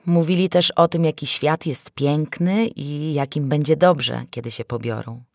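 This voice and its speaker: A woman narrating a fairy tale in a theatrical, expressive storytelling manner. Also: sits low, measured pace, no echo, studio quality. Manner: theatrical, animated. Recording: music behind the voice. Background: music.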